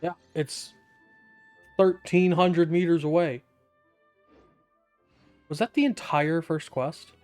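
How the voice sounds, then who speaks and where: A man's voice: fairly high pitch, steady tone, slow pace, long pauses, clear, resonant tone. A young man giving casual, wry playthrough commentary as a gaming video host, with joking asides. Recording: soft game music behind the voice.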